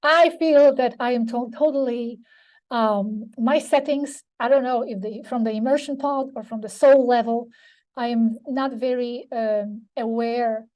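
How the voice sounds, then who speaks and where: A woman, slightly hoarse, answering audience questions as a conference speaker, thoughtful and searching for words with frequent restarts. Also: on the high side, steady tone, unhurried, a little mellow, low-quality recording. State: slightly hoarse, losing her voice.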